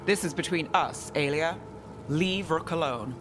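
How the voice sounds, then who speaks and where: Low-voiced, cynical fantasy woman detective. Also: unhurried, background noise. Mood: firm. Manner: dry, terse, guarded. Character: cynical, determined female mage detective in a fantasy city. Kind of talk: video game voice acting. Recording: faint music behind the voice. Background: music.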